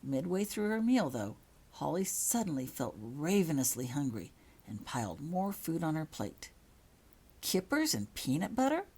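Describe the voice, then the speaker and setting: A woman reads a storybook-style tale aloud. Her voice is low for a woman and has a husky edge. It is scripted narration, told by the author. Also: very animated, normal speed, dark tone. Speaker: author reading own fan fiction.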